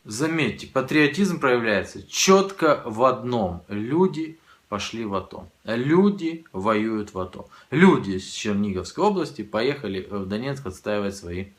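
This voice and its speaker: A man speaking at a middle pitch, measured and ironic. He delivers a sarcastic voice-over commentary with dry, deliberate phrasing. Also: sing-song. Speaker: video blogger commenting on street interviews.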